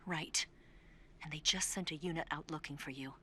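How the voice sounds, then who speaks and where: An actress playing a cool female spy in a video game, speaking in a terse, secretive, often hushed way. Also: sits low, fairly even intonation, normal speed, breathy edge, exaggerated voice. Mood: grave, urgent. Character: cool, urgent female spy guiding an escaping prisoner. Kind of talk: dramatic video game voice acting. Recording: music behind the voice.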